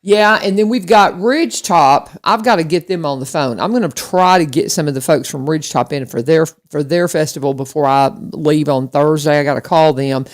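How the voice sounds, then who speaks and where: A woman's voice: low-pitched, fairly quick, few pauses. A woman hosting a local radio show in a studio, chatting casually and rambling with lots of restarts and fillers. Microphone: studio microphone.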